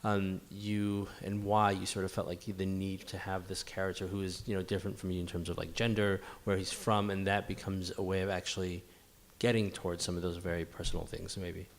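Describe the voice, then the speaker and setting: Man, very low voice. Academic moderator, informal, roundabout questioning. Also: flat delivery, brisk. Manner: tentative, roundabout, frequent "sort of".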